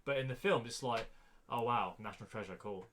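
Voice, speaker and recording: A man's voice: medium pitch, fairly quick, a bit bright, a little hiss or hum. A man co-hosting a podcast, giving blunt, dismissive opinions in a casual debate. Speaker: podcast co-host.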